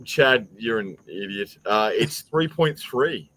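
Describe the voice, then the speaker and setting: A man's voice: fairly low pitch, animated, slow, over a noisy crowd, poor audio. A man hosting a casual quiz among friends, bantering bluntly and often repeating words as he starts. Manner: teasing, blunt, stammering repeats. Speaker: show host running a quiz.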